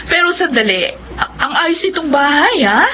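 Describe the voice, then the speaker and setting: A bright, slightly high voice of a Filipina eldest sister. She is caring and earnest, a homecoming overseas worker in a radio drama. There is faint noise behind her. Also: animated, normal speed. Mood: pleasantly surprised.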